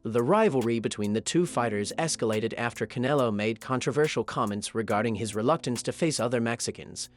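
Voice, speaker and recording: A man reading scripted sports news narration in an even, steady way. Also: medium pitch, fairly quick, few pauses, faint music behind.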